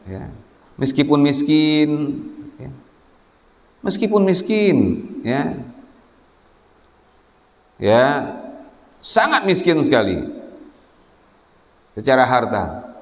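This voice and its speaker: A man's voice: fairly high pitch, very animated, drawn-out words, pauses often. A man from Indonesia preaching to students in a didactic way, putting questions to his listeners, in a reverberant hall. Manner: didactic, asks rhetorical questions and prompts listeners to answer. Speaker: Islamic boarding school preacher. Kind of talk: sermon to students. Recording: echoey hall with long reverb.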